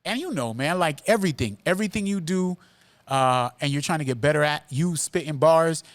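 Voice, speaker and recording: A man, hosting a relaxed podcast conversation. His voice sits a little high, and he talks earnestly, restarting and repeating words as he works out his point. The sound is close and dry. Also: brisk, no echo. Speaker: podcast host. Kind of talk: casual podcast chat. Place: Miami.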